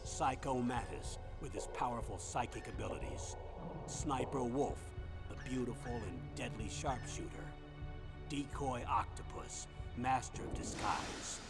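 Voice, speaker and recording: Grave military commander over loud music. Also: male voice, medium pitch, expressive. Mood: grave, authoritative. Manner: measured, deliberate, grave. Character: stern older male military commander giving a mission briefing. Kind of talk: video game voice acting, scripted briefing. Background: loud game soundtrack music.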